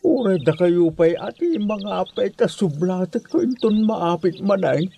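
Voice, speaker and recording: A man's voice: high-pitched, expressive, a little slow, gravelly, mellow, heavily acted. A man playing a humble, sorrowful old farmer and father in an audio drama, speaking in plaintive exclamations. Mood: surprised, humble. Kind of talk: amateur Ilocano audio drama acting. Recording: faint background noise, birdsong.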